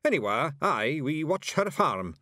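A man voicing a fierce, blustering tiny fairy warrior chief, loud and gruff. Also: fairly high pitch, sing-song, unhurried, no room sound, studio quality, voice pushed up, heavily acted. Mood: gruff pride.

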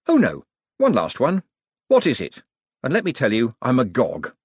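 A man playing a sceptical, sarcastic man in an audiobook, firing blunt, quick-witted questions. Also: mid-range voice, wildly swooping pitch, husky, no echo, clean studio sound, pitched above the performer's own voice. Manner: sardonic, blunt short questions.

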